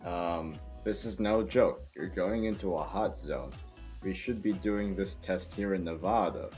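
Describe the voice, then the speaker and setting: A man's voice: low voice, measured pace, darkish tone, soft background music. A man reading the part of an earnest military officer and exasperated loyal friend in an amateur table read. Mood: stern.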